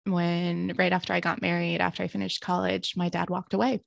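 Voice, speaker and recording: A woman in her thirties, a ministry leader and author, talking about her life in a reflective, earnest way with soft laughs. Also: on the low side, completely flat delivery, a little fast, creaky, no room sound. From born in Greece, raised in the United States and Romania. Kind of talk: casual interview conversation.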